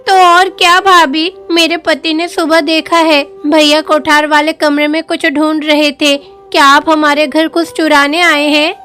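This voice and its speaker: A woman's voice: high-pitched, flat delivery, a little slow, sharp tone, faint music behind. A woman voicing an emotional village wife in a cartoon family drama. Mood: indignant. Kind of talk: dramatic cartoon story dialogue.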